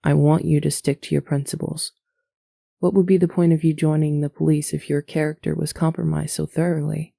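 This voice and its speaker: A woman acts out a motherly, devout older alien in a fantasy audio drama. Her lowish voice has plenty of gravelly creak and stays fairly even. She is measured, earnest and gently admonishing. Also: dry recording, clean studio sound, exaggerated voice. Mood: urgent, grave. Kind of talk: scripted fantasy audio roleplay acting.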